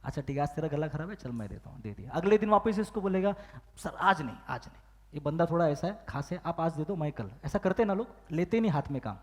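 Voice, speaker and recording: A man's voice: on the high side, expressive, fast talker. A man giving a motivational business training talk, firing questions at the audience and acting out phone calls and excuses. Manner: asks the crowd questions and demands loud answers. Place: large hall with live audience. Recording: long hall reverb.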